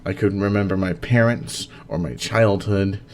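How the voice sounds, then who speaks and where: A man's voice: sits low, steady tone, moderate pace. A man who hosts and narrates stories, reading in an earnest, dramatic way and chatting casually about them. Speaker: host and story narrator.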